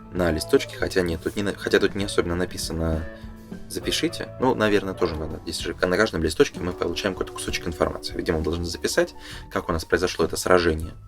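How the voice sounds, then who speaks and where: A man's voice: low voice, creaky. A man giving casual, unscripted explanatory commentary, thinking aloud hesitantly as he reads through a booklet. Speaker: presenter reading through a game booklet.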